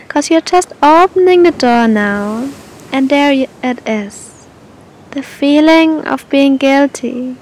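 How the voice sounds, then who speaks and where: A woman guiding a meditation in a gentle, soothing manner, speaking to the listener with long, unhurried pauses. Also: creaky. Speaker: meditation guide. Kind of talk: guided meditation, spoken softly to the listener. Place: outdoors on a beach. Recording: outdoor recording with low rumbling noise.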